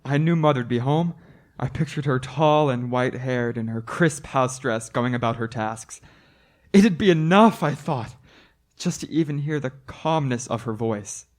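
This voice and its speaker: A man's voice: medium pitch, unhurried, natural voice. A man playing a haunted, frightened young traveller in a suspense radio play, dramatic and prone to stammering. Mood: haunted, brooding. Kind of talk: old-time suspense radio play acting.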